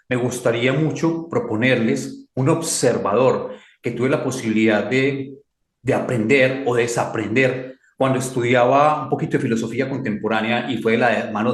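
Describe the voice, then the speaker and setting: A Colombian man speaking as a mentor and guest, in a slow, emphatic, reflective way that repeats key phrases. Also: mid-range voice, reverberant. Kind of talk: reflective talk.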